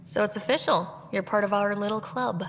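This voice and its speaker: An actress playing a confident young expedition leader in a video game, decisive and wry. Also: female voice, mid-range voice, a little fast, over music, close to an everyday voice. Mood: supportive.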